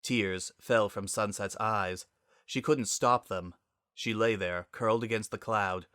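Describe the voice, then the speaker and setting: A man's voice: fairly low pitch, a bit bright, dry recording, studio quality. A man reading a story aloud as narrator in a dramatic style.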